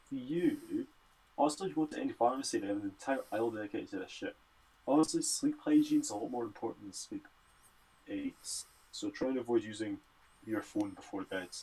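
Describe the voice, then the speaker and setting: A man's voice: mid-range voice, creaky, warm tone, breathy edge, rough recording. A Scottish man who studies medicine, casually answering health and fitness questions on a livestream Q&A. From Scotland.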